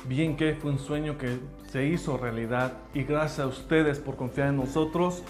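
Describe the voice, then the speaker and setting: Man, native English speaker, mid-pitched, earnest motivational school pitch; roomy sound, light music bed. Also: normal speed. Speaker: language school director. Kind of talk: motivational promotional talk. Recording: roomy echo with faint music.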